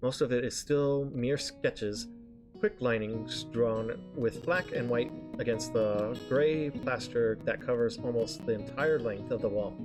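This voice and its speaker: A man with a mid-pitched voice reads a visual novel's narration aloud. He reads haltingly, tripping on words and correcting himself. Soft guitar music plays behind him. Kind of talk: live read-aloud of narration. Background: game background music with guitar.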